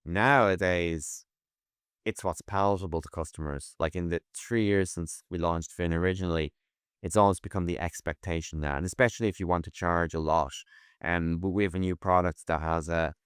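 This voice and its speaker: Low-voiced guy answering interview questions, dry close sound, trips over word starts a bit. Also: a bit bright, clean tone, dry recording. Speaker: tech executive guest.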